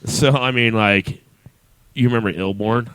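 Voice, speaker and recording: A man's voice: on the low side, measured pace, a bit bright, smooth, dry recording, low-quality recording. A man hosting a casual radio talk show, bantering irreverently and swearing freely.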